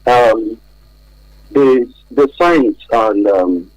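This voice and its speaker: A man with a Nigerian accent explaining things as an interview guest on radio, speaking haltingly and deliberately, often pausing to find words. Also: medium pitch, no room sound, low-quality recording.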